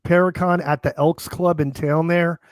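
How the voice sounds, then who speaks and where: A man's voice: fairly high pitch, fairly even intonation, normal speed, dry recording, clean studio sound. A man hosting a live online show who is getting over an illness and losing his voice, chatting and thanking his guests in a rambling way. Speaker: host. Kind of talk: live stream chat.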